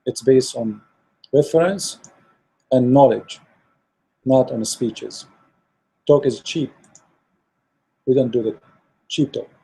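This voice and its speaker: Hoarse man with a dark, husky voice. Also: medium pitch, takes it slowly, long pauses, low-quality recording. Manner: earnest, repetitive, many fillers and restarts. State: losing his voice. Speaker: online religious debater. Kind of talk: direct address to viewers, closing remarks.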